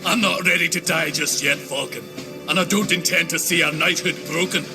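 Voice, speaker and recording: Resolute knight, music behind. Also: male voice, a little high, fairly even intonation, normal speed, vocal fry. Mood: defiant. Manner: resolute, terse. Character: steadfast, wary Scottish knight commander. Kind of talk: video game cutscene acting.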